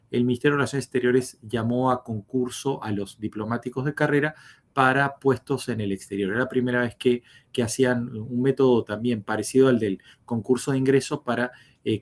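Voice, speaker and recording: A man tells his life story as a podcast guest, pausing often on fillers and restarting his sentences. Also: mid-range voice. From Uruguay. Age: 50s. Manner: hesitant, many fillers and restarts.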